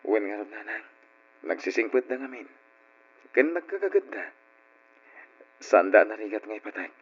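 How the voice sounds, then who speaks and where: A man playing a kindly adult uncle in an old radio drama, speaking gently and earnestly with an Ilocano accent. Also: fairly low pitch, animated, moderate pace, slightly rough. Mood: warm, earnest. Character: Uncle Gilbert, kindly adult son and guardian of orphans. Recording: dull lo-fi radio recording with music.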